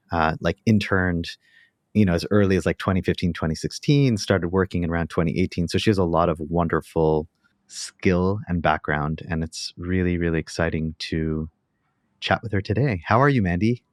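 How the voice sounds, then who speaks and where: Deep-voiced enthusiastic male podcast host. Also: normal speed, dry recording, clean studio sound. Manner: enthusiastic, filler-heavy with "like" and "you know". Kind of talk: podcast hosting and interviewing.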